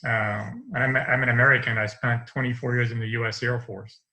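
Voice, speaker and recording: French-accented guy in his 50s, bright forceful voice, rambles a bit on a webcam call from his study. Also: mid-range voice, little pitch movement, fairly quick, poor audio. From France. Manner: rambling, restarts often, light laughs. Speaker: interview guest. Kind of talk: casual conversation over video call. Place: home study with bookshelves. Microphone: video call webcam.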